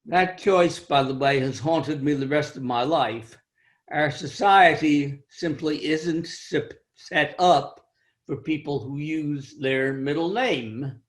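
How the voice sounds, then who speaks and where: Older guy, kinda high voice, slow wry storytelling on a video call. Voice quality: high-pitched for a man. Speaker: club speaker. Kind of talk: personal storytelling speech.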